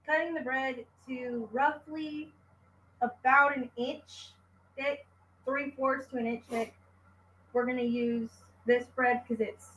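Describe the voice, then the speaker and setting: A young woman with a slightly high voice who explains each step in a slow, calm way. Her kitchen sounds roomy, with a faint hiss underneath. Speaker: home cook demonstrating a recipe. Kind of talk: cooking demonstration. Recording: echoey kitchen with faint noise.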